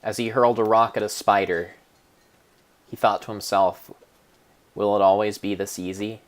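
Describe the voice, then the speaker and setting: Male narrator, lowish pitch, for a mock-solemn dramatic reading; theatrical, deliberate storybook delivery. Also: fairly even intonation. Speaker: narrator of a game playthrough.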